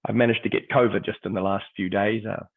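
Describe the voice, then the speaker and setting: A middle-aged man with a New Zealand accent talks through a webinar, his low voice croaky and gravelly from illness. He hesitates often, filling pauses with ums. The sound is dry and thin, like a phone-line video call. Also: steady tone, no room sound. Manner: hesitant, many ums and restarts. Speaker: history teacher and textbook author. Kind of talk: webinar presentation.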